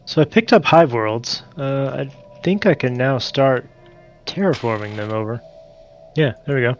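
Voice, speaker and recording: A man's voice: mid-range voice, animated, measured pace, muffled recording, faint music behind. Stuffed-up man muttering over a game. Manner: thinking aloud, muttering to himself. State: stuffed up from allergies. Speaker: game streamer. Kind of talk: casual multiplayer gameplay commentary.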